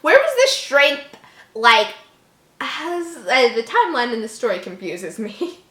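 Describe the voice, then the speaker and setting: A woman's voice: fairly high pitch, big pitch swings, unhurried, fairly bright tone, echoey room. A woman reading a horror story aloud casually, giggly and reactive, breaking off to joke and comment.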